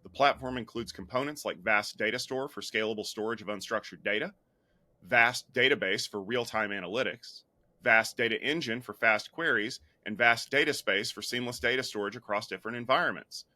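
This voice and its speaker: A man's voice: medium pitch, a little fast, sharp tone. A man presenting scripted news as the host of a show.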